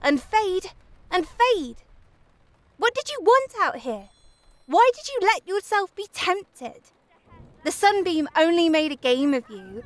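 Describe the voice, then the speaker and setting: A woman's voice: fairly high pitch, slow pace, fairly bright tone, no echo. A woman voicing the scolding, mocking, cold-hearted wind and weather of a storybook, taunting and reproachful.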